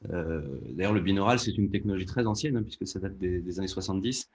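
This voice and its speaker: A man's voice: deep voice, quick pace, a little mellow, slight background noise. A man explaining things as a podcast producer in a panel discussion.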